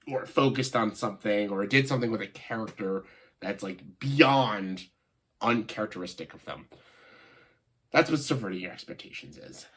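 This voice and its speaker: A man with a stuffed-up, nasal voice from a cold, giving casual, opinionated video commentary in a halting way, stressing key words and often saying "like". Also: deep voice, fairly bright tone, slightly rough. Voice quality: congested, nasal voice. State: congested, stuffed-up nose after a cold. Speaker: video host, film commentator.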